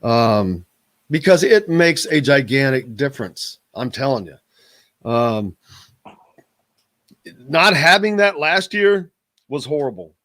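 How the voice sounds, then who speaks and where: A man's voice: medium pitch, slow pace, long pauses. A male sports radio host talks in a loose, rambling way and jokes with his guests. His voice sits in the middle of the male range. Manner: joking, rambling, animated. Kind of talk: casual sports radio chat.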